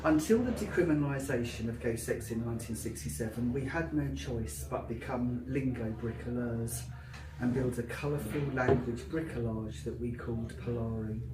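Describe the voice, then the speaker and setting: A man with a South London Cockney accent giving a live poetry reading, with a chatty, filler-heavy introduction and a rhythmic, emphatic recitation. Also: medium pitch. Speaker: poet. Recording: roomy, distant, noisy live venue.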